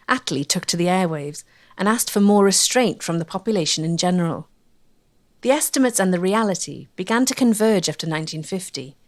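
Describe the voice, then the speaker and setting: Low-pitched female documentary narrator. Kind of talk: scripted narration.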